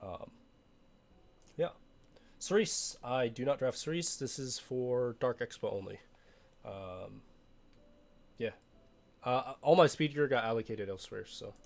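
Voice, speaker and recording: A young man, a little hoarse from being sick, talking casually through his game roster with frequent fillers and restarts. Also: medium pitch, lively intonation, unhurried, pauses often. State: hoarse from illness. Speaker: game streamer.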